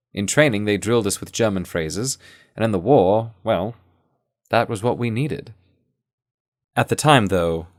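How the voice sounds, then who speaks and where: Male narrator, low register, measured delivery of first-person fiction, very dry sound. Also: dry recording.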